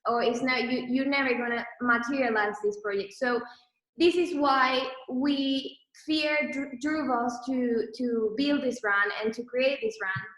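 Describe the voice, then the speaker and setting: A woman in her twenties with a Venezuelan accent, giving an online talk in halting English with many restarts and fillers. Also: sits high, steady tone, fairly bright tone, reverberant, rough recording. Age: 20s. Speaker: guest speaker, brand co-founder. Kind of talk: online presentation.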